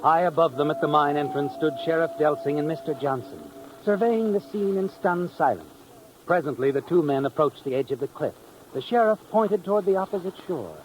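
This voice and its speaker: A man acting as an American narrator of a 1950s radio thriller, mocking and ominous, with dramatic pauses. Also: fairly high pitch, lively intonation, slight background noise, close to an everyday voice, poor audio. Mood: somber. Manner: taunting second-person address with dramatic pauses. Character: mocking, ominous male storyteller of a crime thriller. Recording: old radio with dramatic music behind.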